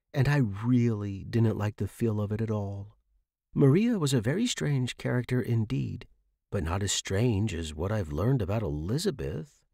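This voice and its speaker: A man's voice: on the low side, vocal fry, a little mellow, no room sound, clean studio sound. A man reading a scripted first-person story as a fiction podcast narrator.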